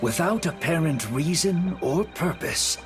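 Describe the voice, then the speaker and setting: A man narrating a video game story in a solemn, measured, dramatic scripted style. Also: on the high side, expressive. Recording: music behind the voice. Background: background music.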